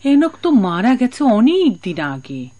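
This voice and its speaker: Caring confidante lady in a sad radio play, highish voice, swings up and down, very dramatic. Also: very animated, unhurried, mellow, dull audio. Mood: curious. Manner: emotional, exclamatory, dramatic. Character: shocked, compassionate, God-fearing woman confidante of sailor. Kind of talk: tragic radio drama acting.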